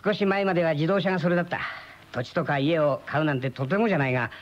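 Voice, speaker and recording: A man's voice: on the high side, fast, lightly acted. An actor playing a chatty, wry friend in his thirties in a radio drama, explaining things colloquially. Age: 30s. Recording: dull lo-fi old radio recording.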